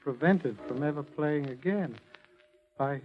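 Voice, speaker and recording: Actor as troubled, higher-voiced young man, old radio. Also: unhurried, natural voice. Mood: anguished. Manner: hesitant, earnest. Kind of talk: 1940s radio drama acting. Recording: dull vintage 1940s radio recording.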